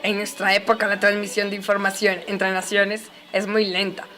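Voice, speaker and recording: A woman's voice: mid-range voice, monotone, normal speed, over music, lightly acted. A woman playing a pious, earnest male reformer monk in an amateur educational radio play, with solemn scripted delivery.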